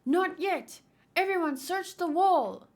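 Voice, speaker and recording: A woman's voice: very high-pitched, expressive, takes it slowly, voice pushed up, exaggerated voice. A woman reading manga aloud as an anxious, brainy young male soldier, an earnest and unsure leader. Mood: urgent.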